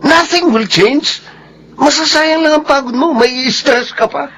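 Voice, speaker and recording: A young Filipino boyfriend, exasperated yet level-headed. His voice is very high for a man, lecturing in radio drama style over faint noise. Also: a little slow, fairly bright tone, full character voice, rough recording. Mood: reasoning.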